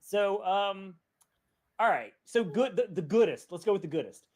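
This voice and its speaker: A man's voice: high-pitched, expressive, moderate pace, darkish tone. A man on a podcast panel, chatting casually and thinking aloud in a rambling way. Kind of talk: casual chat.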